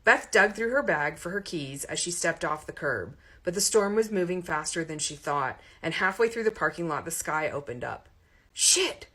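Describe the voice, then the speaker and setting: A woman reading a romantic comedy story aloud in an expressive audiobook narration style. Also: low voice, moderate pace, few pauses, breathy edge.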